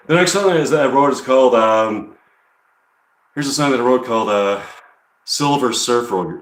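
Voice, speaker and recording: A man from Chicago chatting hesitantly on a live stream between performing his songs, pausing to look things up. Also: medium pitch. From Chicago, USA. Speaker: singer-songwriter performing live. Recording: echoey room with guitar behind. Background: guitar.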